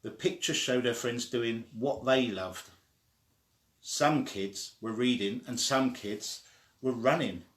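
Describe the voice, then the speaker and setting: A man reading a children's story aloud in an expressive way, sometimes stumbling and starting again. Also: medium pitch, takes it slowly. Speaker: story reader. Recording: echoey room.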